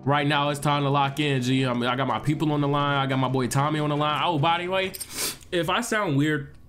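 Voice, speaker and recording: A man's voice: fairly high pitch, a little fast, slight background noise. Stuffy-nosed young man joking with viewers. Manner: animated, joking, talks to viewers. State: stuffed-up nose. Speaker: gaming streamer. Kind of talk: casual gameplay commentary.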